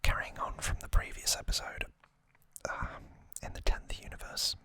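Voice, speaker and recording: ASMR host: breathy whisper, unhurried and halting, sharp bright texture, informal recap style. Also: no room sound. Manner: slow, halting delivery in short phrases.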